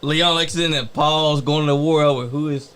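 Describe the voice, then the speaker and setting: Podcast cohost dude, sharp bright voice, hypes everyone up and ribs the guys. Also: male voice, a little high, steady tone, moderate pace, a little hiss or hum. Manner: hype, teasing banter. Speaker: podcast co-host. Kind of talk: casual chat.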